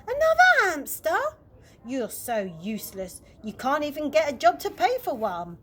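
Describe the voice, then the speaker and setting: Shrill, sneering stepmother, acted by a woman reader. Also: wildly swooping pitch, moderate pace. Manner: scornful, aggressive, argumentative. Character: sneering, nagging, bullying stepmother.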